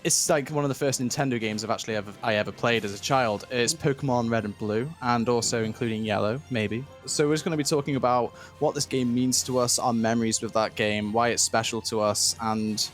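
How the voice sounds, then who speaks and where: A man's voice: medium pitch, steady tone, a little fast, few pauses, faint music behind. A man aged 23 co-hosting a casual chat, giggly and hesitant with frequent ums. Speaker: podcast co-host.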